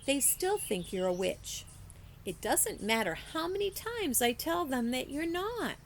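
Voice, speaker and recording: A woman plays a stubborn, hot-tempered young girl from a storybook. The retorts are blunt and emphatic, with lively swings in pitch and a creaky edge over faint background noise. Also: a little slow, full character voice.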